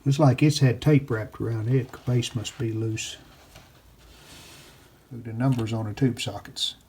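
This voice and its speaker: A man with a mid-pitched voice talks through hands-on repair work in a casual way. He speaks off the cuff in short phrases, thinking aloud as a hobbyist restorer would. The home-video sound carries clear noise. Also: fairly even intonation, moderate pace.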